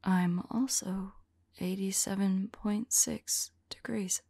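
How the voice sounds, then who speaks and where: A woman playing a tender, anxious centuries-old female vampire lover in an intimate, whispered ASMR audio roleplay, speaking softly and haltingly. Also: mid-range voice, fairly even intonation, no room sound, studio quality. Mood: curious, puzzled. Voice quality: breathy, whispery. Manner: halting, soft, musing to a loved one.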